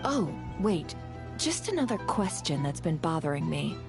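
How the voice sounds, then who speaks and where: A woman playing a composed, shrewd intelligence broker in a video game, measured and articulate. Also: low voice, very animated. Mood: cool, businesslike. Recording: music under the voice.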